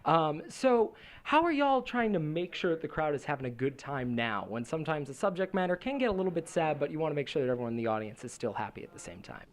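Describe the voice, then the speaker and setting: A man's voice: a little high, very animated, fast talker. A man hosting an interview, chatty, with many fillers and restarts. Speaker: host. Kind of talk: casual interview questions.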